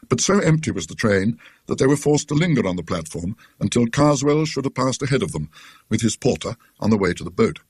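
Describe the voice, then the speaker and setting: A British man narrating a classic ghost story in a dry, scholarly, measured literary manner. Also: fairly low pitch, breathy edge, no echo. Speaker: audiobook narrator.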